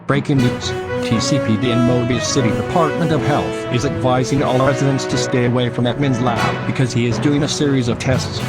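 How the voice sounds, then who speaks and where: A man playing a news anchor delivers scripted breaking news in a mid-range voice. Loud music runs underneath the whole read. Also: medium pitch, steady tone, fairly quick, hardly any pauses, natural voice. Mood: formal. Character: male TV news anchor giving emergency updates. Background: loud music bed.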